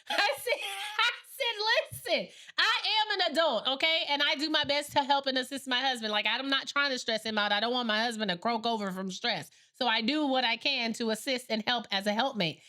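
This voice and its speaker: A woman's voice: sits high, a little fast. A woman in her late thirties co-hosting a podcast, chatting casually and telling stories with lots of quoting and laughter. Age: 30s. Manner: animated storyteller, quotes others, laughs often. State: a little sleepy. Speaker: podcast co-host. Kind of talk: casual chat.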